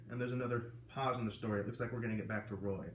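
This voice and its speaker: A man reading a children's novel aloud, lively and putting on voices for the characters. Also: medium pitch, quick pace, distant mic. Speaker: reader. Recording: echoey room with faint ambient noise.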